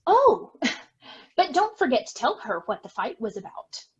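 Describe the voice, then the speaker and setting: A dull, lo-fi recording of a woman playing a meddling twin sister in a stage farce. She is guileless and high-voiced, with a slightly husky edge. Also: very animated, normal speed, heavily acted. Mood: eager, helpful. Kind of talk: amateur stage farce acting over video.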